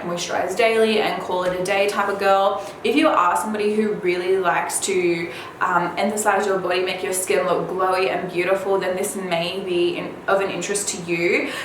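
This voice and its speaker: A woman beauty vlogger chatting casually and informally to viewers, her voice slightly raspy from a cold. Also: fairly low pitch. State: slightly under the weather with a cold. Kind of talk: casual chat to viewers about product releases. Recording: very echoey room, faint background noise.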